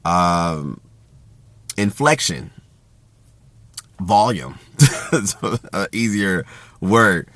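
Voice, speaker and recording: A man talking casually about communication in an even, self-described monotone, with a loud voice that carries and plenty of fillers and restarts. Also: low voice, extremely slow, pauses often, dry recording. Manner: rambling with frequent fillers and restarts. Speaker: host.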